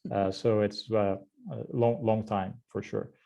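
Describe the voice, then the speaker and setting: A man with a Brazilian accent, around forty, introduces himself in a relaxed way over a video call. His voice is low and soft, with little brightness. He often hesitates with "uh" and jokes at his own expense. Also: measured pace, vocal fry, dull audio. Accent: Brazilian Portuguese. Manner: frequent uh fillers, friendly and self-deprecating. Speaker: guest speaker, head of corporate strategy. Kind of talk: casual webinar self-introduction.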